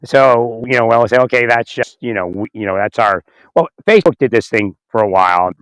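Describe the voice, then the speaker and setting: A man with a slightly hoarse, raspy voice talking in a rambling, halting way, repeating words, chuckling often and asking "right?" as he speaks into his phone outdoors. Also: mid-range voice, a bit bright, no echo. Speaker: vlogger. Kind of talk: rambling casual monologue. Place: outdoors in winter.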